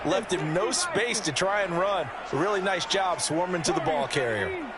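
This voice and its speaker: An actor playing a chatty, opinionated football analyst in a video game, with an American accent and a folksy, explanatory way of talking. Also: male voice, sits high, expressive, normal speed, over a noisy crowd, heavy background noise. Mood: analytical, approving. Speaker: color commentator.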